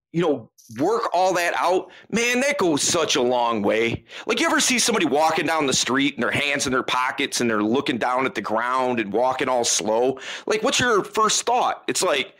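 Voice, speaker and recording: A man's voice: mid-range voice, very animated, moderate pace, bright, forceful tone, poor audio. A man talking as a guest in a casual interview, emphatic and loose, often tossing in "man" as he makes his points. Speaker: podcast guest, dating coach.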